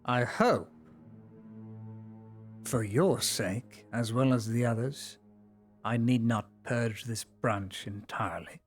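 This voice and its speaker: A man voicing a cold, scheming male inquisitor lord who delivers measured, menacing threats in a low voice. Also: soft background music, lightly acted. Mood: coldly menacing. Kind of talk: live roleplay acting.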